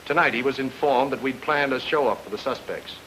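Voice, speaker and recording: A man's voice: medium pitch, fairly quick, bright, forceful tone, lightly acted. A man playing a hard-boiled, sardonic private detective in an old-time radio noir, with a wry, clipped way of explaining things. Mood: confident explaining. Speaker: actor in a radio detective drama. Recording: noisy old radio recording.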